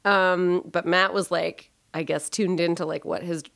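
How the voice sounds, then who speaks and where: A woman's voice: fairly low pitch, fairly even intonation, unhurried, creaky, fairly bright tone, no room sound, clean studio sound. A woman co-hosting a casual chat, rambling with lots of fillers and frequent laughter.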